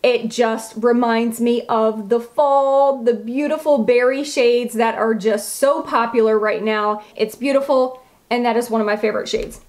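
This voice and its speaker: A woman's voice: a little high, steady tone, unhurried, a little mellow. A woman chatting casually as a beauty vlogger, gushing and emphatic, with a slightly froggy voice. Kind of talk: casual chatty product review to camera.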